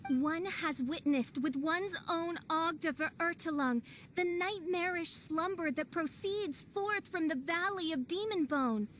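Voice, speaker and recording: A grandiose young gothic princess from a video game, speaking slowly in a high voice. She is haughty and theatrical, declaiming every phrase, over faint noise. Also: fairly bright tone. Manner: pompous, archaic, declamatory, with German words. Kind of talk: video game character acting.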